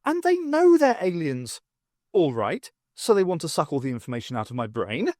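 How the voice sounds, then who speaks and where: A man voicing an excitable, mocking, adventurous woman in an audiobook, with theatrical exclamations. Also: on the high side, moderate pace, no echo, studio quality. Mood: excited.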